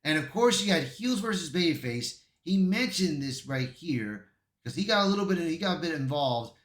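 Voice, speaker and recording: Echoey, higher-pitched man doing online commentary. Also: lively intonation, normal speed, fairly bright tone. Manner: stumbles over words when reading. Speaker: YouTube commentator. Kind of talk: reading an article aloud with casual commentary. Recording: echoey untreated room.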